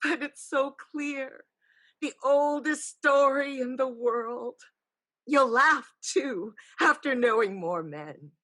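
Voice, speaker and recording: A wise female elder spirit played by a woman in a staged play. She speaks slowly and deliberately in a lightly raised pitch. Her counsel is knowing and pragmatic, with a teasing edge. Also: bright, forceful tone, full character voice. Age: older. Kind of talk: staged play reading, dramatic and measured.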